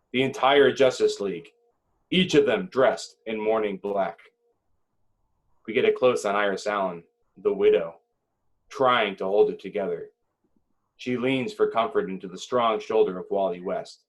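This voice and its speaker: A man reading screenplay directions as dramatic narration at a table read, with deliberate phrasing and short pauses. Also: on the low side.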